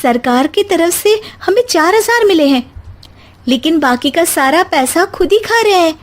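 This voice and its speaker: A woman's voice: very high-pitched, animated, moderate pace, pitched above the performer's own voice. A woman voicing a spirited, educated young daughter-in-law from the city, earnest and outspoken, in a story. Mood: alarmed.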